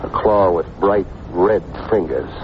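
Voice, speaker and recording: An actor playing a tough, wisecracking private detective with clipped, sardonic delivery in a vintage radio drama. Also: male voice, deep voice, a little slow. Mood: wry narrating. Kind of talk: 1950s radio detective acting and narration. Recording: muffled, hissy old radio recording.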